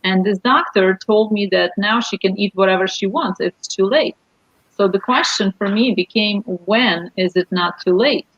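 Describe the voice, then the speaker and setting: A woman's voice: mid-range voice, moderate pace, a bit bright, dry recording. A woman with a Ukrainian accent speaks earnestly in slightly broken English, telling her story as a guest coach. Her voice sits in the middle of a woman's range and comes through dry and dull, like lo-fi video call audio. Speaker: guest, life purpose coach.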